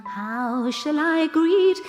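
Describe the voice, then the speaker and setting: A woman's voice: high voice, animated, drawn-out words, dull audio, faint music behind, exaggerated voice. A woman playing a mourner, singing a haunting, sorrowful ballad to a lost love in an audio drama. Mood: mournful. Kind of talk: slow haunting sung ballad. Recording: long cavernous reverb.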